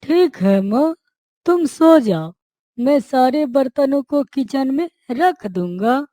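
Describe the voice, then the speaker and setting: A narrator voicing a dutiful, caring village boy in a cartoon story, speaking earnestly and gently. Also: sits high, animated, slow, no room sound, studio quality, pitched above the performer's own voice.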